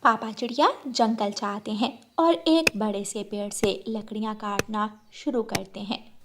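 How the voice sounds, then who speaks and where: A woman's voice: medium pitch, a little slow, background noise. A woman narrating a scripted children's cartoon story as the storyteller.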